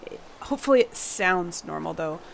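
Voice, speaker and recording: A woman hosting a game-building channel, chatting casually while she plays. Her voice is a little husky from a cold she is getting over, and she sounds tired and late-night. She apologizes often and laughs a lot. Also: fairly low pitch, expressive, normal speed, slight background noise. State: slightly husky from a fading cold. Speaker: gaming channel host. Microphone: home voice mic.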